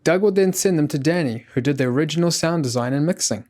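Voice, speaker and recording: A man's voice: fairly high pitch, fast, a little mellow. A man reading scripted documentary narration. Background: faint guitar music.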